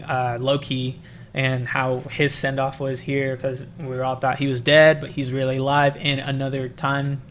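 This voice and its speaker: A man hosting a casual movie discussion, speaking haltingly and often restarting his phrases. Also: medium pitch, a bit bright, a little hiss or hum. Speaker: film review podcast host.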